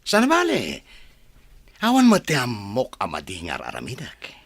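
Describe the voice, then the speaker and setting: An actor with an Ilocano accent playing a brash, scheming young village troublemaker in a radio drama, with a mocking, swaggering manner. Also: male voice, medium pitch, wildly swooping pitch, normal speed, slightly rough, no echo. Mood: defiant.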